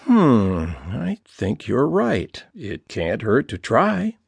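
A man's voice: medium pitch, huge pitch swings, slow pace, gravelly, slightly rough, no echo, full character voice. A man voicing a shy, smitten young woman in a story, in a bashful, earnest and dreamy manner. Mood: earnest, hesitant.

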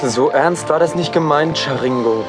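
A nervous, stammering gang biker, acted by a man, with a fairly high voice. He doubts and protests fearfully in a horror radio play, under loud music. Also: normal speed, close to an everyday voice. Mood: nervous, doubting. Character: frightened, doubting young male biker gang member. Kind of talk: German horror audio drama acting.

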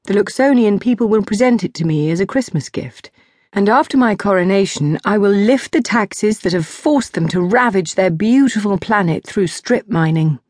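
A woman's voice: medium pitch, lively intonation, moderate pace, a little mellow, no echo, studio quality, voice pushed up, close to an everyday voice. A woman voicing a lonely, dutiful teenage princess in a storybook, explaining things politely and earnestly. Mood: earnest, resolute.

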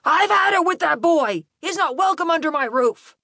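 A woman voicing a drunken, abusive father, shouting belligerently at his son in an audiobook story. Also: extremely high pitch, expressive, normal speed, dry recording, studio quality, pitched above the performer's own voice, full character voice. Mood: furious. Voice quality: shouting, forceful.

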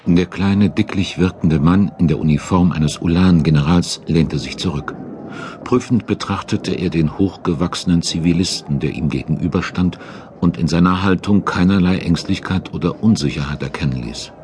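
A man's voice: sits low, normal speed, darkish tone, slight background noise. A man giving dramatic, scripted audiobook narration as the storyteller of a historical radio play. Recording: narration over battle sound effects.

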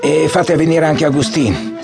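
An actor in an audiobook drama playing an ailing, proud old general, commanding and grandiloquent in manner. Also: male voice, on the high side, a little slow, creaky. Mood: moved, weary. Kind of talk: audiobook radio drama acting. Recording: music behind the voice.

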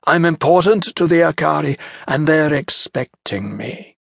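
A man voicing a cold, calculating warrior who speaks slowly and with menace. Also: a little high, lively intonation, creaky, dry recording, clean studio sound, pitched above the performer's own voice, heavily acted.

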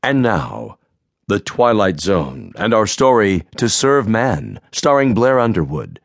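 A man's voice: lowish voice, big pitch swings, slow pace, no echo, heavily acted. A man announcing a radio drama's title and cast in a formal scripted style.

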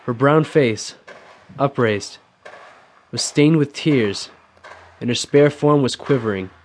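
An actor playing a restless, brooding young Civil War recruit in a radio drama, earnest in manner, with an American accent. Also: male voice, medium pitch, takes it slowly, slight background noise, lightly acted. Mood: reflective. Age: young adult. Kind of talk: radio drama acting and first-person narration.

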